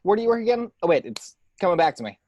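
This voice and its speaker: Woman as a wry office guy, lively pitch. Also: very fast, no echo, clean studio sound. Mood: wry banter. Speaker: table read host and actor. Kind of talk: amateur screenplay table read. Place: at home, remote table read.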